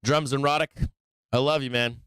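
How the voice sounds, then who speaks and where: This is a man with a clean, resonant voice of medium pitch, recorded dry with hardly any room around it. He talks like the host of a live trading stream, moving loosely between chat and market commentary. His language is blunt, slangy and freely profane. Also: steady tone, normal speed, a bit bright, smooth, no room sound.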